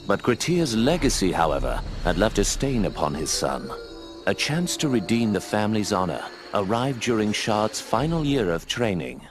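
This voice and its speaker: An actor playing an old male owl mentor who narrates the tale in a measured, grave manner and gives dry commands. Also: on the low side, sing-song. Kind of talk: game cutscene voice acting. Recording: voice over loud game music.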